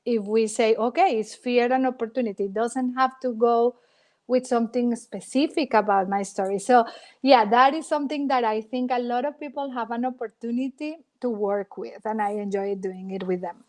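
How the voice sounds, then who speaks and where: Expressive woman with Colombian accent. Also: a little high, normal speed. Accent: Colombian Spanish. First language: Spanish. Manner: expressive, dramatic storyteller. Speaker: guest, former TV reporter. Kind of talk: storytelling interview.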